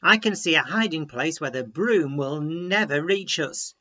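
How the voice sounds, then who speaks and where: A man's voice: a little high, expressive, measured pace, bright, forceful tone, dull audio, pitched below the performer's own voice, heavily acted. A man voicing a determined little frog, urgent and encouraging, in a children's story. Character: determined, quick-thinking little frog, urging friend on.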